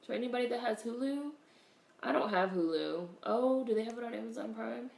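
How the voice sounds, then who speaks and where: A woman hosting a casual livestream, greeting viewers in a relaxed, chatty way while congested with a cold. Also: on the high side, a little fast, vocal fry, reverberant. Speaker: livestream host.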